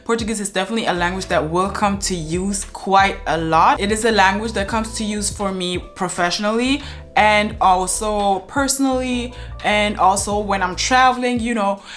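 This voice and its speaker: A woman's voice: mid-range voice, normal speed, a bit bright, soft background music. A young woman giving earnest, chatty advice to the camera from her living room, with many "uh" fillers. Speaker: language vlogger.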